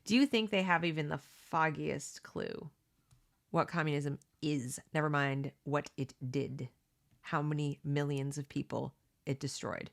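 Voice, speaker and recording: A woman in middle age with a slightly low-pitched voice reads aloud from a book. She keeps stopping to add earnest, emphatic remarks of her own. Between them she checks in with the listener in a chatty, opinionated way. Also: measured pace, gravelly. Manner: reads passages then breaks off into emphatic asides. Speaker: homeschooling parent reading a book aloud. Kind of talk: read-along with conversational commentary.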